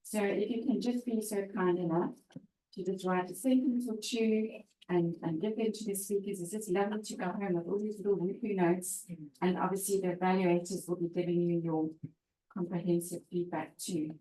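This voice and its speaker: A woman's voice: on the low side, fairly even intonation, normal speed, warm tone. A woman chairing a club meeting, chatty and laughing, with many fillers as she introduces the speakers. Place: meeting room. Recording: roomy, echoey meeting room.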